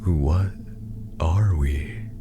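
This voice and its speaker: A man voicing a reanimated father in a horror story, soft-spoken, confused and full of questions. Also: deep voice, extremely slow, voice pushed up. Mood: bewildered, horrified. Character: bewildered horrified older father, newly reanimated. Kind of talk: horror story character narration. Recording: music behind the voice.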